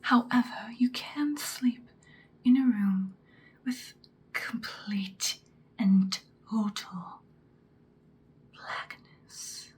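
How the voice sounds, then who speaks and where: A woman playing an ancient, powerful female vampire in an ASMR roleplay, whispering breathily in an intimate, calmly menacing, seductive way. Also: a little high. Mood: calm, instructive. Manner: slow, deliberate, intimate. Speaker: ASMR performer.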